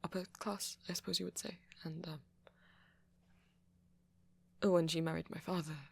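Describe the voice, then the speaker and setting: A shy young vampire played by a man speaks in a posh British accent for an intimate ASMR roleplay. The voice is light for a male, breathy and crackling with creak. He is hesitant and apologetic, stumbling gently over his words. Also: on the high side, steady tone, moderate pace. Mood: uneasy, reticent. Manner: hesitant, stammering, soft-spoken, apologetic.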